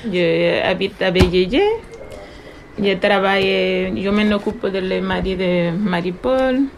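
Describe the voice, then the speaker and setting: A woman's voice: medium pitch, fairly even intonation, slow, slight background noise. A Dominican woman in her forties with a Dominican Spanish accent, talking hesitantly in a casual radio interview, slipping into French now and then. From Dominican Republic. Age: 40s. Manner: hesitant, slips into French, laughs often.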